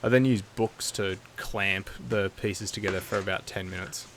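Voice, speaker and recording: A man narrating a scripted how-to video in a measured, matter-of-fact way. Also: low-pitched, steady tone, fairly bright tone, slight background noise.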